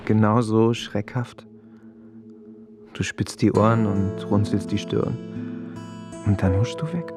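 Radio drama lover: a tender, teasing partner, very unhurried, low and soft, guitar music. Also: male voice, fairly even intonation.

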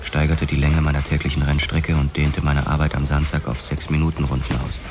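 An actor playing a laconic boxer-policeman who tells his story in a measured, matter-of-fact noir radio drama narration. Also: extremely low pitch, level tone, noisy background. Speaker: first-person narrator.

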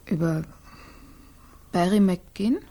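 An actress playing a fearful, evasive young adult daughter in a radio drama, stammering and hesitant. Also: female voice, on the low side, very slow, dark tone. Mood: anxious. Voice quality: breathy. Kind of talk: 1980s German radio drama acting.

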